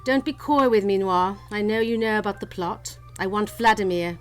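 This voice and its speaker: A woman's voice: medium pitch, moderate pace, faint music behind, lightly acted. Steely, vengeful noir woman, played by an actress. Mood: menacing. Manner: commanding, melodramatic. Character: steely, vengeful woman holding a detective at gunpoint. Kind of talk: campy noir detective radio play.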